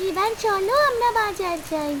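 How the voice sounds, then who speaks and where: A woman's voice: very high-pitched, moderate pace, fairly bright tone. A woman voicing a devoted, stubborn little village girl with a childlike, insistent manner in a Bengali cartoon fairy tale. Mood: eager, reassuring. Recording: rain noise behind the voice.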